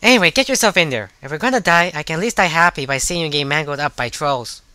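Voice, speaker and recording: A man's voice: fairly high pitch, expressive, fast, pushed and bright, smooth, no echo. A man voicing a hot-tempered, bossy young sorceress in a parody anime fan dub, sarcastic and foul-mouthed. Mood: bitter sarcasm.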